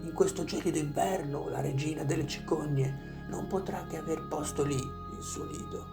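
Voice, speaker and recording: A narrator voicing a wise old male owl in a storybook tale, speaking calmly, softly and slowly. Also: medium pitch, creaky, over music, natural voice. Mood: calm, knowing.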